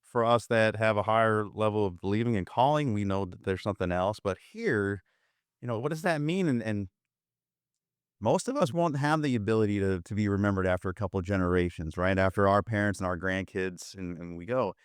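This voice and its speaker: A man's voice: on the low side, fairly quick, smooth, no echo, studio quality. A man hosting an interview, talking casually and thoughtfully with searching restarts. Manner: thoughtful, searching, with repeated starts. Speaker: podcast host, interviewer.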